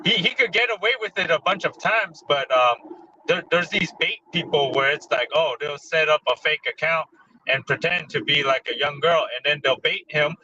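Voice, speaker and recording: Twenty-something guy, hesitant, bright voice, kinda muffled with some hiss. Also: fairly high pitch, normal speed. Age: 20s. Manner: hesitant, lots of fillers and restarts. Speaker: guest. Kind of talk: casual chat.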